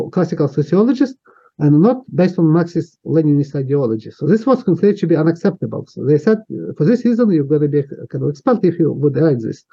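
Man, Ukrainian accent, non-native English, hesitant with fillers, fairly high pitch, very dark tone. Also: slightly rough. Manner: hesitant, many fillers and restarts. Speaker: interviewee. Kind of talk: recounting personal history. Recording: dull lo-fi sound.

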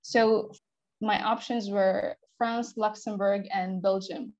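A young woman from Israel, speaking as a podcast guest. She talks in a measured, explanatory way at a middle pitch. The sound is dry, with no room echo. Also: steady tone, a little mellow, muffled recording. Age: young adult. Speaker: interview guest. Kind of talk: conversational interview.

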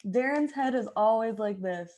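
A woman's voice: on the high side, expressive, moderate pace, darkish tone. A woman with an American accent chatting casually and animatedly on a video call, with the odd swear word. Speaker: podcast cast member. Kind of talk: casual chat.

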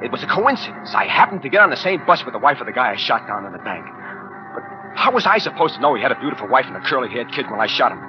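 An American man acting in a 1940s radio drama as a working-class gunman haunted by his crime, speaking in a halting, colloquial way. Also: mid-range voice, close to an everyday voice. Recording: muffled 1940s radio broadcast recording.

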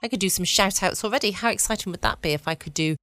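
A woman's voice: medium pitch, fast, a bit bright, no echo, clean studio sound. A woman, chatting as host of a late-night bedtime podcast. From United Kingdom.

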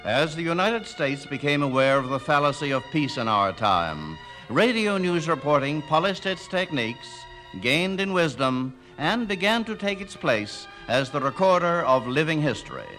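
A man's voice: a little high, expressive, moderate pace, bright, forceful tone, soft background music. A man reading scripted radio documentary narration in a formal, dramatic manner.

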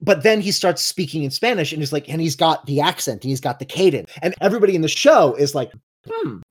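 A man's voice: fairly high pitch, very animated, quick pace, breathy edge. An American man co-hosting a podcast in casual conversation, explaining things in a discursive, wry way. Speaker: podcast co-host.

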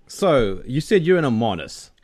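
A man's voice: medium pitch, big pitch swings, normal speed, darkish tone, no room sound, clean studio sound. A man with a South African accent, hosting a casual interview over a video call. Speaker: show host interviewer.

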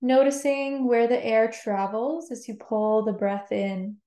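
A woman leading a guided meditation with gentle, soothing instructions. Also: a little high, measured pace. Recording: roomy, echoing sound.

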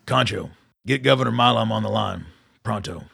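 A hard-bitten military commander, played by a man in a radio drama. He is blunt and used to giving orders. His voice is heavily gravelly and rough, with a hard, sharp edge. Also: fairly low pitch, normal speed, dry recording, studio quality, full character voice. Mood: tense, curt. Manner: gruff, authoritative, blunt. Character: hard-bitten, commanding, profane Texas army colonel.

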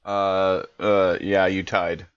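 A man's voice: deep voice, flat delivery, takes it slowly, clear, resonant tone. A man playing a tabletop game, improvising casually in character, on a slightly dull recording.

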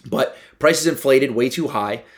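Mid-pitched man hosting a casual podcast. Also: measured pace. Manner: loose, filler-heavy, tag questions like "right?". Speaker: podcast host. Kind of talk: casual conversation.

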